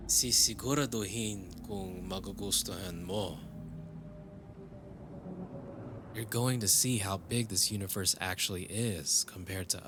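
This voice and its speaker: A man's voice: on the low side, background noise, natural voice. A man playing a world-weary, tender cyberpunk dreamer in love, speaking intimately and reflectively in a romantic audio roleplay. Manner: intimate, reflective, switching into Tagalog phrases.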